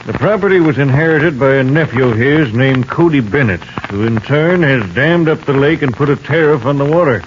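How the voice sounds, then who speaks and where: A man's voice: mid-range voice, lively intonation, brisk, hardly any pauses, natural voice. An American man playing a plain-spoken, worried sheriff in a 1950s Western radio drama. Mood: concerned. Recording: dull 1950s radio recording, faint noise.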